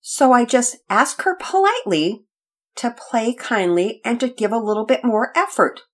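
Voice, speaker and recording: A woman voicing a bossy, impatient young boy in a children's story, loud and commanding. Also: fairly high pitch, expressive, moderate pace, pitched above the performer's own voice. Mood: self-justifying.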